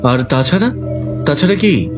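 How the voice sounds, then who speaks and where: A man voicing a level-headed, shrewd detective in an audio drama. His voice sits a little high and moves lively as he reasons aloud, with faint music behind. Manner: measured, reasoning. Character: calm, shrewd male detective. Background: faint background music.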